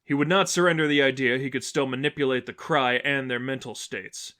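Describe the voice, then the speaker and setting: A man narrates an action story from a script. His voice sits at an average male pitch, in the manner of an amateur audiobook reading. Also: steady tone, fairly quick, sharp tone. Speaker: narrator reading fan fiction.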